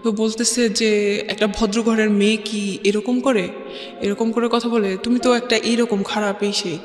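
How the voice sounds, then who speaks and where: A woman with a Bangladeshi accent tells her own story as an interview guest, haltingly, repeating phrases and mixing in English words. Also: mid-range voice, little pitch movement. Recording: echoey reverb, faint music bed. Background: faint music.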